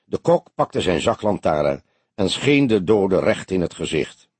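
A man's voice: sits low, very animated, measured pace, darkish tone, breathy edge, no room sound. A man narrating an audiobook as scripted dramatic storytelling.

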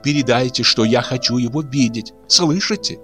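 A man's voice: medium pitch, normal speed, fairly bright tone, soft background music, voice pushed up, close to an everyday voice. A man doing a fluttery anxious fortune-teller woman. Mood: pleading. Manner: fluttery, pleading.

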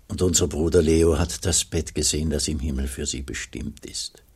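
An actor playing an old, pious, melancholy abbot in a German radio play, speaking gravely and solemnly as he looks back, with a gravelly, husky voice. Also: very deep voice, heavily acted. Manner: slow, solemn, measured storytelling.

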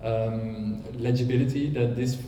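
A man presenting a project at a seminar, speaking politely and hesitantly with many pauses and ums. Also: medium pitch, fairly even intonation, warm tone, a little hiss or hum. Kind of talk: seminar talk. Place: seminar room. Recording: distant mic in an echoey hall.

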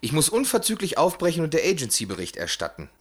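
An actor playing a calm, clinical male hypnotherapist with a formal, deliberate manner in a radio drama. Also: fairly high pitch, husky, exaggerated voice. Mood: composed. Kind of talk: horror radio play acting.

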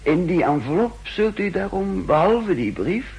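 A very breathy, husky man as a secretive intelligence official in an old radio spy play. He speaks deliberately and haltingly, with a fairly high pitch that moves widely as he confides. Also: very animated, darkish tone, heavily acted, low-quality recording. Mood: earnest, confiding. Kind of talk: 1960s Dutch radio drama acting. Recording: old 1960s radio drama recording.

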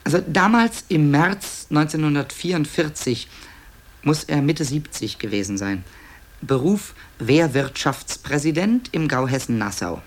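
A man's voice: fairly high pitch, lively intonation, measured pace. A man narrating a radio play in a conversational way, musing and hesitating, with wry asides. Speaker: author-narrator presenting tapes to listeners.

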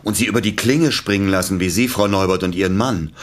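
An actor playing a nervous, reluctant male accomplice in a radio play, speaking haltingly in a husky voice. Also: on the low side, lightly acted. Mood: indignant. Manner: hesitant, halting, repeating himself.

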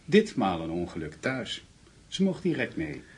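A sly, threatening male henchman in a radio play. He has a husky voice with animated pitch movement. His manner is brisk and controlling. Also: full character voice. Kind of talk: radio drama acting.

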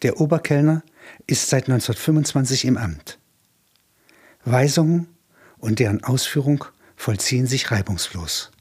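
An actor playing a musing, plain-spoken chronicler in a wartime radio play, with a husky voice. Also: male voice, medium pitch, animated, moderate pace, darkish tone. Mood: factual.

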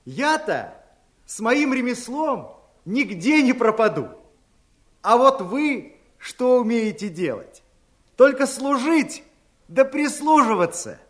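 Young gardener in love: very high, lively voice, earnest and dignified, fairy-tale radio play. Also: male voice, slow, heavily acted. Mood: proud, firm.

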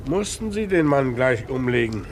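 An actor playing a curt, probing police commissioner in a 1950s German radio play. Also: male voice, medium pitch, big pitch swings, moderate pace, close to an everyday voice. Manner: terse, probing questions. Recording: old, faintly noisy 1950s radio recording.

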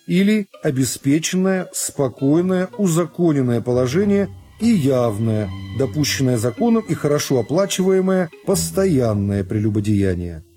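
Mellow-voiced man narrating a classic novel. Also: mid-range voice. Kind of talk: scripted literary narration.

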